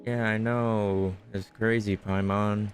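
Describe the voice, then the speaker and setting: A low, unhurried male voice over clear game music. The man is a streamer chatting casually through his play, thinking aloud and dropping wry, sarcastic asides. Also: little pitch movement. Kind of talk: casual gameplay commentary.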